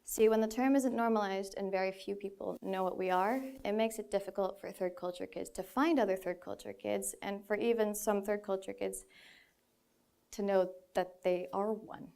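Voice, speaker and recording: A woman's voice: medium pitch, steady tone, normal speed, vocal fry, darkish tone. A woman in her twenties from the Philippines, giving a prepared stage talk in an earnest way with a few light laughs at herself. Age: 20s. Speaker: speaker. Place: stage in a large hall.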